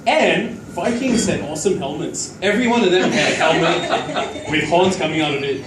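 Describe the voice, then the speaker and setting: A man's voice: fairly high pitch, brisk. A man from Singapore, doing a crude, profanity-laden open-mic stand-up set. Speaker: stand-up comic. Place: small comedy club at an open mic night. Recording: echoey, distant club audio with crowd noise.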